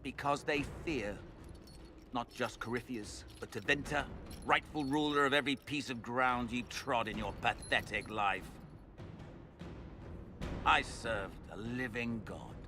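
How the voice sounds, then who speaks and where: A man's voice: medium pitch, measured pace, music in the background, lightly acted. A man playing a defiant, pompous fanatical lord held prisoner in a fantasy video game, speaking with declamatory contempt. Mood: defiant, contemptuous.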